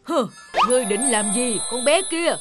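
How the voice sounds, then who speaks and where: An actor voicing a menacing dark spirit in a cartoon, taunting and arrogant. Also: medium pitch, expressive, moderate pace, loud music behind the voice, close to an everyday voice. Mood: menacing, mocking. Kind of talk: animated villain dubbing.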